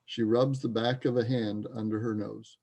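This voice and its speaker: Mellow, creaky male stage-direction narrator. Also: fairly low pitch, little pitch movement, moderate pace. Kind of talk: scripted narration in reader's theater.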